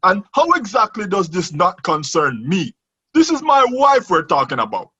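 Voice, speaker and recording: An actor playing a hurt, sarcastic betrayed husband in a radio drama. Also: male voice, high-pitched, huge pitch swings, normal speed, pushed and bright, slightly rough, highs cut off, heavily acted. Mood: indignant, sarcastic.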